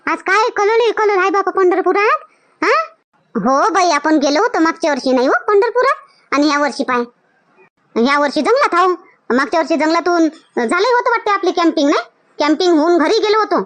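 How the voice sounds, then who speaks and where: A woman voicing a chatty, devout village woman in a comedy cartoon, with a rural Vidarbha Marathi accent and a colloquial, questioning way of talking. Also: extremely high pitch. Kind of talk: amateur cartoon dialogue.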